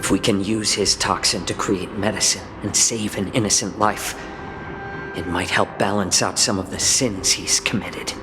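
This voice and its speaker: An actor playing a courteous, composed fox-folk healer in a game cutscene, speaking in a polite, measured, formal way. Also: male voice, low-pitched, fairly even intonation, lightly acted. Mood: musing. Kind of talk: scripted game cutscene acting. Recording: background music under game dialogue.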